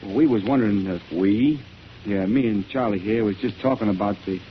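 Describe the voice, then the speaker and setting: A man's voice: on the low side, normal speed, natural voice. An actor playing a nervous, grumbling ex-convict henchman with tough slangy talk, in an old radio drama. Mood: uneasy, grumbling. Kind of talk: 1930s radio drama acting. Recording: dull old radio recording, faint noise.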